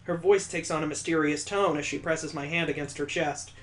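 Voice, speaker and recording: Male let's play host, slightly high voice, theatrical read-aloud style with incredulous, wry asides. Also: fairly even intonation, fairly quick, fairly bright tone, low-quality recording. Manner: incredulous, joking asides between earnest narration. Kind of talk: dramatic read-aloud with reactive commentary.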